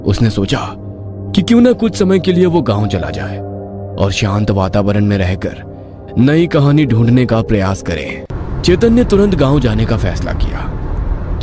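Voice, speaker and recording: A man reading a scripted horror story as its narrator. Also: lowish voice.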